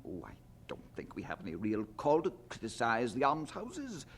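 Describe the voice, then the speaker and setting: An actor playing a cautious, skeptical colleague in an audio drama, fussy and remonstrating. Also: male voice, mid-range voice, huge pitch swings, normal speed, slight background noise.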